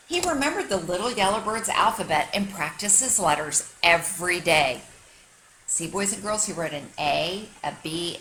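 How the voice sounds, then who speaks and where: Bright-voiced woman reading to young children. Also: fairly low pitch, a little hiss or hum, poor audio. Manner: emphatic, teacherly, articulated for young children. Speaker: kindergarten teacher. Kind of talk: expressive children's story read-aloud.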